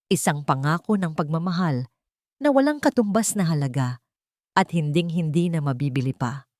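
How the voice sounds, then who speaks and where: Lower-pitched woman narrating a sentimental story. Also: expressive, moderate pace, a little mellow, dry recording, clean studio sound. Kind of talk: scripted romantic story narration.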